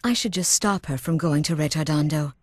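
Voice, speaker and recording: A woman voicing a gentle, worried mother in a video game, tender and fretful. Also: deep voice, a little fast, breathy edge, dry recording. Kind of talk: video game cutscene voice acting.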